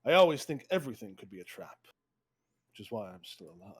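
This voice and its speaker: A man playing an arrogant, suspicious prince and hunter in a table read, with a deliberate, commanding, declamatory delivery. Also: lowish voice, very animated, slightly rough, dry recording, studio quality. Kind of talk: theatrical table-read performance.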